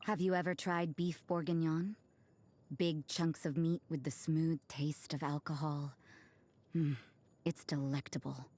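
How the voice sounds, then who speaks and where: A refined game lady with a low voice. She is elegant and fussy, savoring her words. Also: flat delivery, measured pace, a little mellow. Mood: indulgent, fussy. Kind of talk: video game character voice lines.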